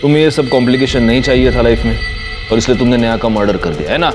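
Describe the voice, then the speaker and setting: A stern police officer pressing suspects with firm accusations, played by an actor. He speaks in a mid-range voice, with loud dramatic music underneath. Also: mid-range voice, rapid-fire, lightly acted. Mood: accusing.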